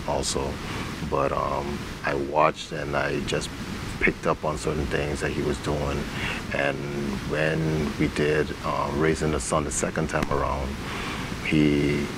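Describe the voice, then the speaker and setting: A man, an interview guest talking informally and telling stories in colloquial speech. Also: rumbling low. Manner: informal, colloquial, acts out quoted dialogue. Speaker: interview guest, stage actor and director. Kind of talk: conversational storytelling. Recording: clearly noisy background.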